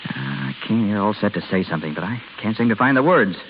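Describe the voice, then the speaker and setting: A young man in a vintage radio drama, an awkward, lovesick husband who pleads and blurts stubbornly. His pitch swings widely and he talks fast. The recording is narrow and phone-like with faint hiss. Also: big pitch swings. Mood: hurt, hesitant. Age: young adult. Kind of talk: 1930s-40s American radio drama acting. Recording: scratchy, hissy old radio broadcast, phone-like.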